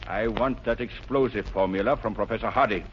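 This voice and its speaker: A man's voice: on the low side, fairly quick, close to an everyday voice. A man acts out a ruthless villain in a 1940s radio thriller, domineering and short-tempered. He sneers and barks commands through a dull, noticeably noisy old broadcast recording. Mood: demanding. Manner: imperious, sneering, barking commands.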